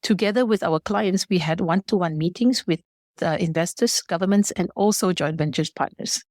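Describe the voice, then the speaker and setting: Female business guest from Malaysia, lowish voice, formal explanatory delivery, dry close sound. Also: moderate pace, a little mellow, no room sound. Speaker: podcast guest, business partner and founder. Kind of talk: prepared, explanatory interview answers.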